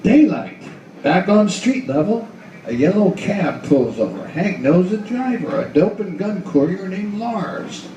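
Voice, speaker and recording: A man's voice: sits high, sing-song, measured pace, mellow, a little hiss or hum. A man narrating a noir radio play dramatically, in terse, clipped phrases. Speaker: author and narrator. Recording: echoey room, far from mic, music behind.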